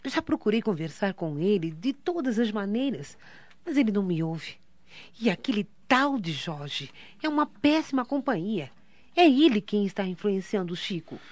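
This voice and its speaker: A woman's voice: mid-range voice, big pitch swings, moderate pace, dry recording. A woman in a radio drama playing a worried, distressed wife, plaintive and emphatic. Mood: worried, desperate. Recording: dry, dull lo-fi audio.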